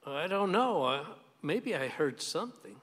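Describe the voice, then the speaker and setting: An actor in a radio play playing a tired, placid older husband. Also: male voice, on the high side, sing-song, unhurried, fairly bright tone, a little hiss or hum. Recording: long hall reverb.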